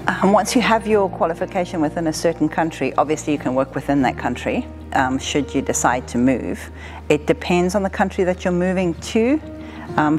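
Low-voiced woman explaining her job. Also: a little mellow. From southern Africa. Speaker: air traffic controller being interviewed. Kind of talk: explanatory interview talk. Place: indoor room with wood-panelled wall.

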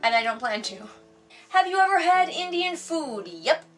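Wry, high-voiced woman with a Wisconsin accent. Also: big pitch swings, moderate pace, a bit bright, distant mic, soft background music. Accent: Wisconsin American. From United States. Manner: wry, reading questions aloud then answering off the cuff. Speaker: vlogger. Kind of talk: casual question tag vlog. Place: home room with bookshelves, indoors. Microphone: camera mic at a distance.

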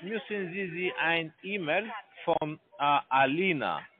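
A man who is not a native German speaker, phoning from a call center, speaking halting broken German and repeating words. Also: a little high, a crowd in the background. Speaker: scam call center caller. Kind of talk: phone call. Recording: tinny phone line.

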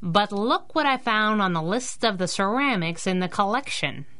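Lady acting as a sassy tough sidekick girl in a 30s radio serial, slangy, sharp and animated. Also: mid-range voice, moderate pace, close to an everyday voice. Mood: wry. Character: wisecracking, tough young female superhero sidekick. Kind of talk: 1930s-style radio drama acting.

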